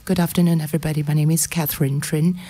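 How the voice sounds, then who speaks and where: A woman's voice: low voice, flat delivery, quick pace, dark tone, breathy edge. A middle-aged woman with a Singaporean accent giving a personal faith testimony as a guest speaker.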